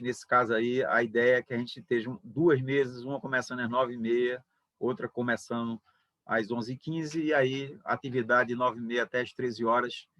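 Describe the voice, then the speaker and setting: Male moderator, measured and hesitant, with muffled, dry video call sound. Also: medium pitch, fairly bright tone, no room sound. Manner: measured, hesitant, many fillers. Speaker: event moderator and book organizer. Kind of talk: online book launch closing remarks.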